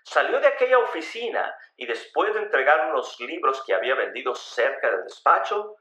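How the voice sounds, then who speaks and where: A man reading a scripted story in a measured, dramatic storytelling way with emphatic phrasing. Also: fairly high pitch, very animated, pushed and bright. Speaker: narrator of a radio story. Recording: roomy, echoey voice recording.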